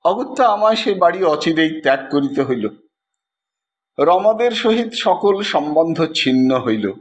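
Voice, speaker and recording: A man narrating a literary story in an expressive, theatrical manner, as the host of an audio story series. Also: a little high, unhurried. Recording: dull lo-fi track with faint noise.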